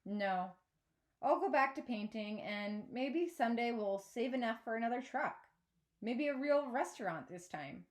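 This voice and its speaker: A woman voicing a gentle, reasoning middle-aged father in a children's book read-aloud. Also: a little high, animated, measured pace. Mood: resigned, hopeful.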